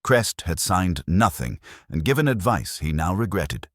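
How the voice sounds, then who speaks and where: A man reading a first-person detective story as a scripted audiobook, in a dry, measured storytelling manner. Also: low-pitched, sing-song, no room sound. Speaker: narrator and reader of a detective story.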